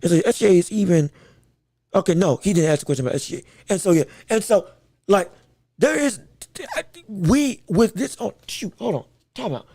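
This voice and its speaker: Scratchy-voiced excitable man hosting a podcast. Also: high voice, brisk, no room sound. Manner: excitable, rambling, many fillers and restarts. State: scratchy, strained voice. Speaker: podcast host. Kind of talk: solo sports and wrestling podcast chat.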